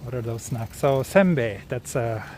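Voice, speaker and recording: A man from Sweden, speaking English as a second language, hosts a relaxed live walking stream outdoors and chats with viewers as he goes. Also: mid-range voice, normal speed, slight background noise. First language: Swedish. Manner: relaxed, answers viewer chat while walking. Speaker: vlogger walking through a city. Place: outdoors on city streets.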